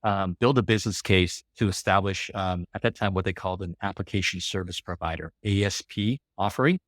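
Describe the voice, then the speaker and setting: An American man in his forties guests on a podcast and walks through his life story. His voice sits low and he rambles along with plenty of fillers. The sound is close and dry. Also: brisk, no room sound. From California. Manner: rambling, many fillers and laughs. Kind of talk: casual interview storytelling.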